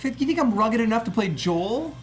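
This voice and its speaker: A man's voice: very high voice, lively intonation, normal speed, faint music behind. A man co-hosting a gaming show in a studio, bantering casually with the others. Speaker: show co-host.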